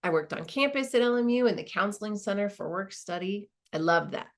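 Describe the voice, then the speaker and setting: A woman's voice: mid-range voice, expressive, fairly quick, close to an everyday voice. An actress playing a modest woman law school dean, giving a reflective, conversational monologue with small hesitations. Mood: candid, reminiscing. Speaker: performer of a dramatized narrative.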